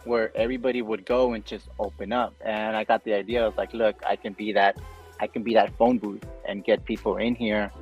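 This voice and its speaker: Low-voiced man on a muffled call. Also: flat delivery, a little fast, faint music behind. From Guatemala. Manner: earnest, open about personal struggles. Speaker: podcast guest. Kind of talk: casual conversation.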